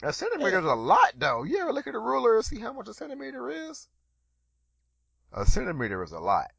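Lively, bright-voiced man joking around. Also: fast talker, vocal fry, breathy edge, no room sound, lo-fi sound. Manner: loud, playful, swings to a put-on deep voice. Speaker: podcast co-host. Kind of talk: casual joking chat.

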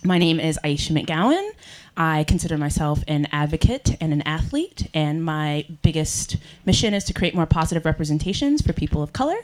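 Woman moderator, lower register, sincere and steady, live panel on a handheld mic. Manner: earnest, measured, with frequent ums. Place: event room with audience. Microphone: handheld microphone.

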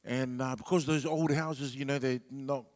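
A man's voice: medium pitch, steady tone, moderate pace, big-room sound. A middle-aged New Zealand man whose first language is Samoan, telling a rambling stand-up story full of fillers. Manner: laid-back and rambling, with many fillers. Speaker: comedian.

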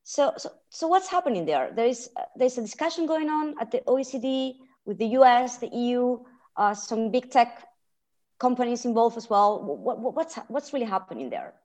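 A woman's voice: fairly high pitch, lively intonation, moderate pace, a little mellow. Spanish-accented woman hosting a podcast. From Spain. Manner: friendly, hesitant, with repeated restarts. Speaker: podcast host, research fellow. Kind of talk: podcast interview.

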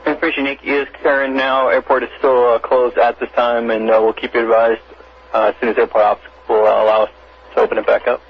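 A husky-voiced man gives an air traffic status announcement in a flat tone. The radio makes him sound dull and narrow. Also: medium pitch, fast talker. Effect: aviation radio.

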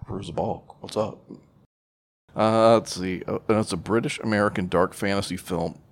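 A man's voice: lowish voice, steady tone, moderate pace. A man co-hosting a podcast, bantering casually about movies in slangy, foul-mouthed talk. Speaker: podcast co-host.

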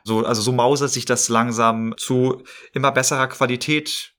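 A man's voice: medium pitch, fairly even intonation, normal speed, fairly bright tone. A man from the Hamburg area talking casually as a podcast guest. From Hamburg area, Germany. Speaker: podcast guest, player and editor. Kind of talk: casual chat.

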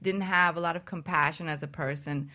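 A woman co-hosting a talk show, chatting casually and personally in an animated, giggly manner. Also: deep voice, brisk.

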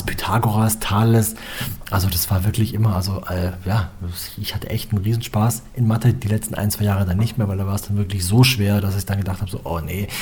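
A man's voice: deep voice, a little mellow, husky. A young man, a musician, talking casually and at length as an interview guest, with lots of filler phrases. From Germany. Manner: chatty, rambling, with filler phrases. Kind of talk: relaxed conversational interview.

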